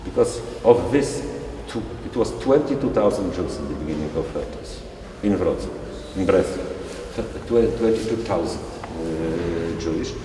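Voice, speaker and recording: Man, tour guide, halting, slow, distant mic, roomy echo, noisy ambience. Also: deep voice. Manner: halting, searching for words, many restarts. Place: large echoing synagogue hall. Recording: echoey hall recorded from far off.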